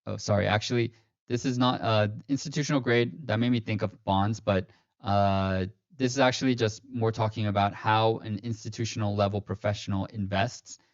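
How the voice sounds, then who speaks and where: A man's voice: on the low side, steady tone, normal speed, no echo. Man, livestream host, casual rambling talk, fillers, dry dull audio. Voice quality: slightly robotic-sounding stream voice. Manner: rambling, self-correcting, many fillers. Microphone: streaming mic.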